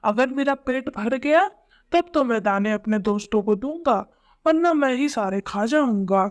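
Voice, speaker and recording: A woman's voice: a little high, animated, a little slow, darkish tone, voice pushed down. A woman voicing a selfish, grumbling male crow in a children's cartoon story. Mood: selfish, scheming.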